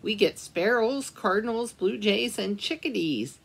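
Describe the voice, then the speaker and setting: A woman librarian reading a picture book aloud at storytime, slowly and gently, pausing to ask the children questions. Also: medium pitch, lively intonation, vocal fry, breathy edge.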